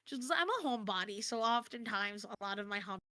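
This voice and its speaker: A woman's voice: medium pitch, animated, a little fast, sharp tone, no room sound. An American woman chatting casually and expressively as a guest on an interview stream. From United States. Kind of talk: casual chat.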